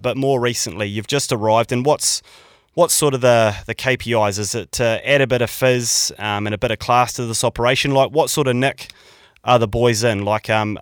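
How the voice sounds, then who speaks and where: A man's voice: mid-range voice, smooth, no room sound, studio quality. A man hosting a podcast interview, teasing his guests and saying "mate" and "like" a lot. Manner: jokey, teasing, says "like" and "mate" often. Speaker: podcast host. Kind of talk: casual interview chat.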